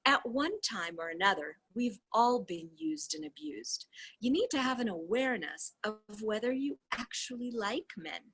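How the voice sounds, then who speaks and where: Husky woman reading along expressively. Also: mid-range voice, a bit bright, rough recording. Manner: effusive, gushing delivery. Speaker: host reading a book aloud. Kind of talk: read-along with casual asides.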